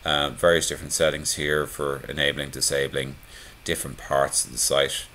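A man giving a relaxed, conversational screencast walkthrough of a website theme, with frequent "uh" and "um" fillers. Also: very deep voice, normal speed, slight background noise. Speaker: theme tutorial presenter.

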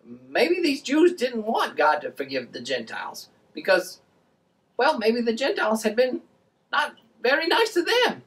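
A woman voicing an excitable, friendly boy puppet, explaining things in an animated way for a children's show. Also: a little high, unhurried, distant mic, low-quality recording. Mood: earnest.

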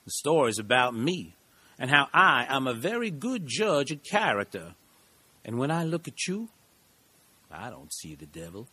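A man's voice: mid-range voice, big pitch swings, normal speed, pushed and bright, husky, dry recording, exaggerated voice. A man playing a genial, eccentric middle-aged small-town lawyer in an audio drama, with a folksy, rambling storyteller's manner. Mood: folksy storytelling.

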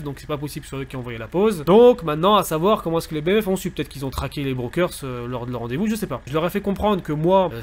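A man roleplaying a gang leader in casual talk, loose and full of fillers, sprinkling in Spanish words. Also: a little high. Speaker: roleplayer as cartel boss.

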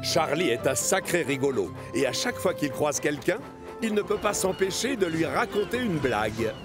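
A man narrating a children's cartoon as an expressive storyteller. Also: fairly high pitch, moderate pace, over music.

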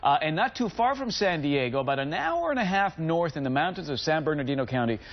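A man's voice: on the high side, sing-song, quick pace, muffled recording. A middle-aged man speaking as an enthusiastic, salesy travel expert in a TV studio segment. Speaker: travel editor, guest expert. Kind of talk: upbeat TV travel segment presentation.

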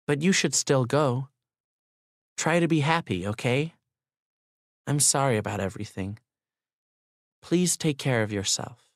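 Soft, wistful young woman, read by a man. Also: mid-range voice, animated, unhurried, pauses often, dry recording, clean studio sound. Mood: sad, resigned. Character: gentle, wistful, detached young woman, reminiscing.

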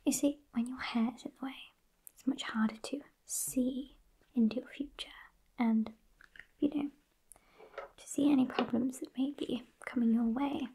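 A woman in her twenties with a British accent playing a sassy, haughty witch in a breathy, unhurried ASMR whisper, close to the microphone. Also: sits high, fairly even intonation, warm tone, low-quality recording. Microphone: close microphone just off camera.

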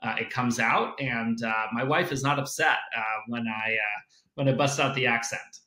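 A man's voice: mid-range voice, normal speed. A man in his thirties with an American accent, originally from South Africa, talking casually as a guest over a video call from his home office, with frequent fillers. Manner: relaxed, many "uh" and "you know" fillers. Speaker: venture capital investor guest. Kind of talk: casual interview. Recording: echoey room on a video call.

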